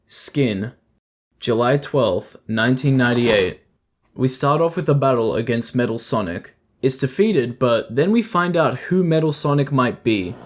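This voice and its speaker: A man narrates a scripted video essay in an explanatory way. His voice sits at an ordinary male pitch. There is clearly audible ambient sound behind him as he speaks. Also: expressive, measured pace, slight background noise.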